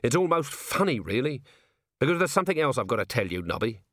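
A man's voice: medium pitch, sing-song, quick pace, fairly bright tone, no room sound. A man voicing a tired, stern male watch commander who talks in a dry, clipped way. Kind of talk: audiobook character voice.

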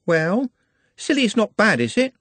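A man's voice: high voice, very animated, unhurried, fairly bright tone, no echo, pitched above the performer's own voice, exaggerated voice. A man voicing a dreamy, lovestruck young woman who works as a kitchen assistant. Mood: coy.

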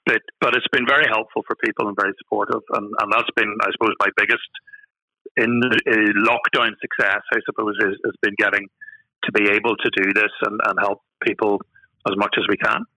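A man's voice: lowish voice, little pitch movement, brisk, pushed and bright, no room sound, low-quality recording. A middle-aged Northern Irish man, a guest on a podcast, talking with many fillers and restarts. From Northern Ireland. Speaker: guest, marketing consultant. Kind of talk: podcast interview.

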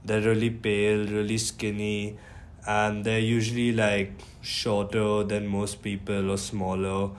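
A man's voice: on the low side, completely flat delivery, a little slow, slight background noise. A young man from India, a college student co-hosting a podcast, explaining things earnestly with a few ums. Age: young adult. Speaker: podcast co-host. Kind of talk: casual podcast talk.

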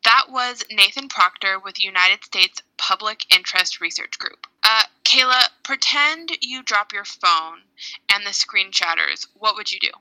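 A young woman from Hawaii co-hosting a podcast, clearly explaining things step by step. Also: medium pitch, expressive, unhurried, sharp tone, low-quality recording. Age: young adult. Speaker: podcast co-host.

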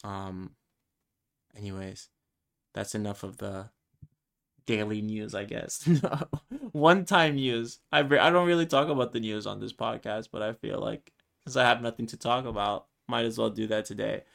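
A man in his twenties with a fairly low voice rambles through a solo podcast episode, circling back and restarting his thoughts. He grew up speaking Spanish first. His tone stays casual and self-deprecating. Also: normal speed, creaky, a bit bright. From Brooklyn, New York. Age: 20s. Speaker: podcast host.

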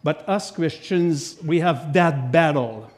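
A man from Quebec with a French Canadian accent, preaching a sermon as a guest speaker in a church, with lively asides to the congregation. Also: a little high, slow pace. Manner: animated, chatty asides to the congregation. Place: church sanctuary. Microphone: lectern microphone.

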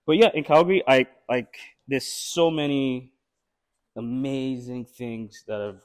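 A man, a guest founder in an interview, speaking in a relaxed, reflective way with "you know" fillers. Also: mid-range voice, measured pace, clear, resonant tone. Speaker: sound studio founder, guest. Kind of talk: conversational interview.

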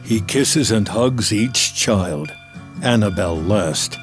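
A man's voice: on the low side, animated, slow pace, over music. A man narrating a film script in a scripted storytelling style.

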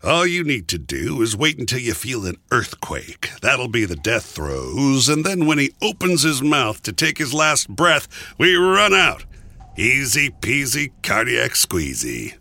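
A storybook trickster coyote, played by a man, cocky and unfazed by any danger. The voice is bright and a little husky, swinging freely in pitch as it tosses out flippant, casual lines. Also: wildly swooping pitch, unhurried, no room sound, heavily acted. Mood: cheerful, cocky. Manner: breezy, flippant, casual slang.

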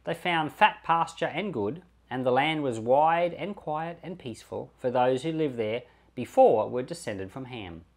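A man reads scripture aloud in a mid-pitched voice with lively rises and falls. His delivery is measured and deliberate, setting out each phrase and name separately, as a pastor would on a podcast.

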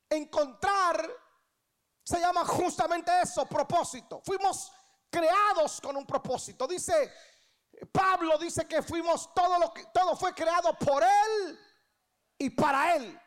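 Woman, thirties, Guatemalan accent, very high-pitched, sermon delivery, emphatic, repetitive phrasing, rhetorical questions. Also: slow pace. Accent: Guatemalan Spanish. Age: 30s. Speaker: preacher. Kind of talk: church sermon.

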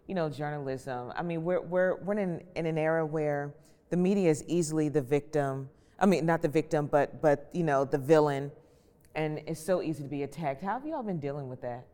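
Deep-voiced woman host with long reverb. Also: warm tone. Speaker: discussion host, journalist. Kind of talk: conversational interviewing. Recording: echoey hall-like reverb.

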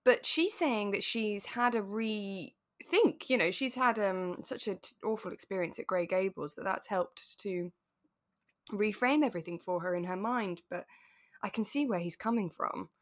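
A woman co-hosting a relaxed discussion podcast, retelling things expressively in a conversational way. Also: mid-range voice, moderate pace. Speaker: podcast co-host. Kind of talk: relaxed chat reviewing a radio drama.

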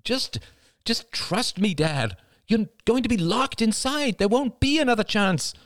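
A stressed mother pleading and insisting, as a male narrator voices her. The voice is pushed up high and strained. The recording is dry and close. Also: lively intonation, unhurried, a bit bright, no room sound. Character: tense young mother arguing.

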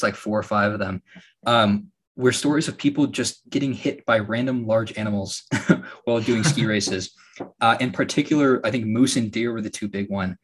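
A young man from Alaska hosting a podcast, telling stories in a relaxed way with lots of fillers and a slightly raspy voice. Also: lowish voice. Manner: relaxed storytelling with frequent fillers and laughs. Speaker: podcast host. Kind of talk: casual podcast chat.

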